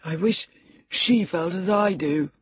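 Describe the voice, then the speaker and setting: A woman's voice: mid-range voice, animated, a little slow, darkish tone, husky, no echo, voice pushed up. A woman voicing a peevish, sickly teenage boy in an audiobook story, whining and self-pitying. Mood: whimpering. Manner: whiny, taunting, self-pitying.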